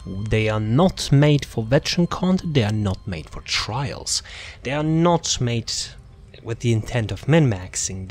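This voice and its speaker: A man's voice: on the low side, slight background noise. A man hosting a gaming video, talking casually through a character build in a rambling, self-interrupting way and often trailing off. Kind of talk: casual game build walkthrough commentary.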